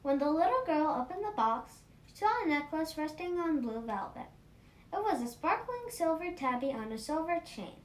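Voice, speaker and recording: A woman reading a children's story aloud in an expressive, animated manner. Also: moderate pace. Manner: animated, acts out dialogue. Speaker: storybook reader for a children's book club. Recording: roomy echo, faint rumbling noise.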